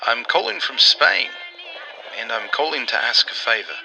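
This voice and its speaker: An actor playing an earnest, persuasive Allied intelligence operative in a 1940s-style radio drama, explaining things deliberately. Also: male voice, mid-range voice, very animated, vocal fry, sharp tone, soft background music, full character voice, poor audio. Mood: friendly.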